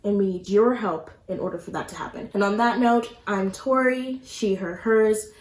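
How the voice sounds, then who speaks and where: A woman's voice: medium pitch, moderate pace. A woman activist addressing viewers directly in an emphatic, blunt way. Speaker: activist spokesperson. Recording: echoey room sound.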